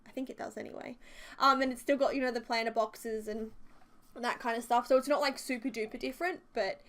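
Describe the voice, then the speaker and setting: Young female vlogger, slightly higher voice with a touch of sore-throat croak, loose chatty narration full of asides. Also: steady tone, brisk, a bit bright. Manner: chatty and rambling, many fillers and side notes. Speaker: video creator narrating a build. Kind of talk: casual voice-over commentary.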